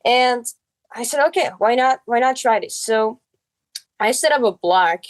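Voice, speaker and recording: A woman's voice: on the high side, expressive, a little slow. Young teenage girl with Czech accent. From Czech Republic. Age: early teens. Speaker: podcast guest. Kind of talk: casual personal storytelling.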